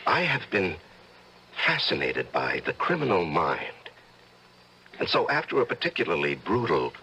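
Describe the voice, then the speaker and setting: Gravelly, wildly inflected middle-aged judge. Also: male voice, sits low, wildly swooping pitch, moderate pace, pushed and bright, heavily acted. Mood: coaxing, persuasive. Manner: patronizing, coaxing, philosophizing. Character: smooth, evasive middle-aged judge hiding murderous urges. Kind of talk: old-time radio drama acting. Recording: noisy old radio drama recording.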